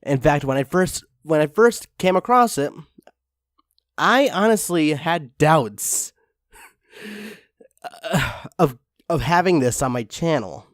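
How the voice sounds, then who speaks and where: Slow, animated man, exasperated and sweary. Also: on the high side, dry recording, studio quality. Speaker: host reading fan fiction. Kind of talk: casual comic reading with commentary.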